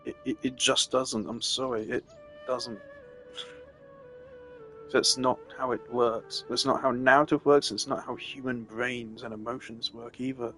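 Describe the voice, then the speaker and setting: A man's voice: medium pitch, little pitch movement, measured pace, pushed and bright, faint music behind. A man giving a casual, opinionated rant on a live stream, emphatic and exasperated in the way he talks.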